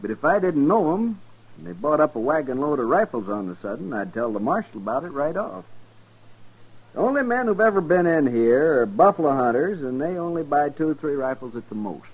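An actor playing a folksy, defensive frontier gun shopkeeper in a 1950s radio western. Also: male voice, fairly high pitch, very animated, fairly quick. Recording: dull 1950s radio recording.

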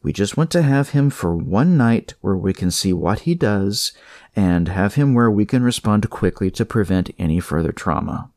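A man voicing a calm, reassuring hospital doctor in a story reading, firm and explanatory. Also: on the low side, moderate pace, no room sound, clean studio sound.

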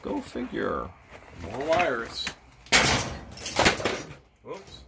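A man in his thirties digging through a storage unit outdoors, talking excitedly to his phone camera in a casual vlog. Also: medium pitch, very slow, gravelly. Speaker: storage unit treasure hunter. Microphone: handheld phone camera. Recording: noisy outdoor phone recording.

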